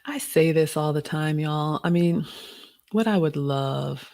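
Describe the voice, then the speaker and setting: A middle-aged woman with a slightly deep, raspy voice, casually hosting a live stream and reading viewer questions aloud. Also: measured pace, dark tone, dry recording, clean studio sound.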